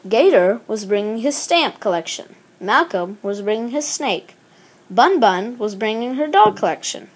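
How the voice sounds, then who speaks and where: A woman's voice: a little high, unhurried, slight background noise. A woman reading a children's picture book aloud expressively, acting out the exclamations and dialogue. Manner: animated, acts out exclamations and dialogue. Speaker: storybook reader.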